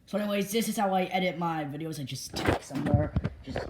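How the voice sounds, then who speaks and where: A filmmaker narrating a behind-the-scenes vlog in a boastful, halting, repetitive way. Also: medium pitch, loud music behind the voice. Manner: boastful, self-congratulatory. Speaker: filmmaker making analog horror videos.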